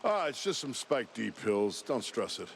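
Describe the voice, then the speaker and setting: An actor playing a dazed, cocky cartoon mouse movie action hero who rambles in laid-back bro talk. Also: male voice, mid-range voice, very animated, moderate pace, vocal fry, slight background noise. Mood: woozy, evasive. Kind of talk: cartoon game acting.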